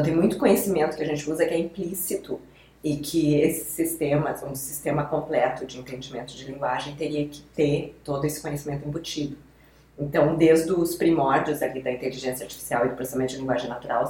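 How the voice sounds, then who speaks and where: A woman with a notably low voice, explaining things patiently one step at a time. She sounds like a guest expert walking listeners through examples. The recording has a roomy echo around her. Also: darkish tone. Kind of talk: explanatory podcast talk.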